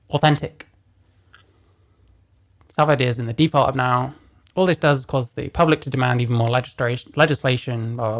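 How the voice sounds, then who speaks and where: A man with a deeper voice giving casual reaction commentary, blunt and profane as he rants. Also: a bit bright. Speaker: commentary host.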